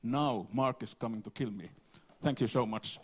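A man giving a conference presentation, halting and repeating words as he goes. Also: medium pitch, heavy background noise. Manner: halting, repeats words, non-native phrasing. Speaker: conference speaker.

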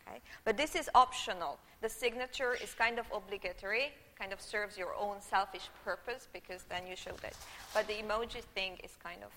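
Middle-aged Hungarian woman lecturer, native Hungarian, bright, forward voice, chatty, keeps checking in with the room, recorded in a reverberant hall. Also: mid-range voice, brisk, slight background noise. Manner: chatty, checks in with the audience often. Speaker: university lecturer. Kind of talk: live university lecture. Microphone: room microphone.

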